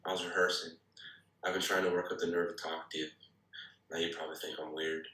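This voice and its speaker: A man's voice: low voice, flat delivery, quick pace, sharp tone. An actor reading an awkward, nervous man trying to flirt at a screenplay table read. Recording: echoing room.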